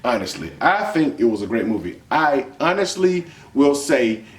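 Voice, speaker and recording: An American man chatting casually as he hosts a review vlog, colloquial and animated, repeating phrases for emphasis in an echoey room. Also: medium pitch, normal speed. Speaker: vlog host reviewing a film. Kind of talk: casual chat, movie review. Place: indoor room with bare wall. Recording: roomy indoor echo.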